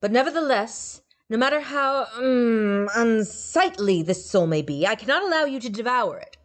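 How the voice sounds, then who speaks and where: A woman's voice: a little high, lively intonation, moderate pace, pitched above the performer's own voice, lightly acted. A woman voicing a stern, pompous male wind god who declaims in lofty, formal speech.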